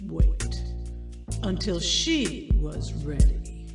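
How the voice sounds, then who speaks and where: An actress playing a seductive woman narrating a steamy screenplay in voice-over, with deliberate delivery. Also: fairly low pitch, big pitch swings, heavily acted. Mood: teasing, commanding. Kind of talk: audio play voice-over. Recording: roomy echo, distant, loud music bed.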